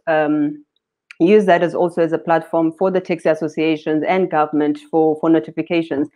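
A woman, a company founder, explaining her work on a panel over a video call, steady with frequent ums. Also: low voice. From South Africa. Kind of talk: panel discussion talk. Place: indoors, home room with curtains. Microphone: webcam on video call. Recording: dull lo-fi video call audio.

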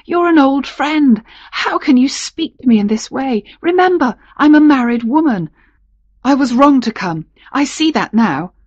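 A refined duchess from a storybook romance, voiced by a woman narrator. She is proud and reluctant yet fond, protesting in a raised pitch. The recording is dry and a touch dull. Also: lively intonation, moderate pace, a little mellow, no room sound. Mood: flustered, reproachful.

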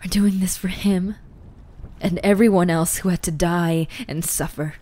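A woman acts out a young ghoul girl in an audio roleplay. Her voice is soft, mellow and a little low, often slipping into whispers. She speaks very slowly and earnestly, gentle and grieving yet resolute. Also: steady tone. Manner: slow, emotional, earnest, with pauses.

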